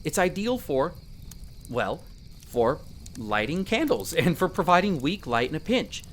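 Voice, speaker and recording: A performer voicing a young male elf explorer in a roleplay audio drama, patiently and gently coaching the listener as a magic teacher. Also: medium pitch, big pitch swings, unhurried, a little hiss or hum. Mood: upbeat, instructive. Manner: gentle, reassuring, coaching step by step.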